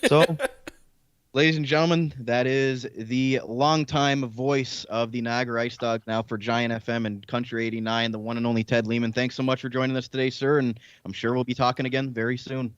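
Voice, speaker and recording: A man's voice: medium pitch, little pitch movement, moderate pace, smooth, dry recording. A man hosting casual live sports draft coverage over slightly lo-fi audio. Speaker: sports podcast host.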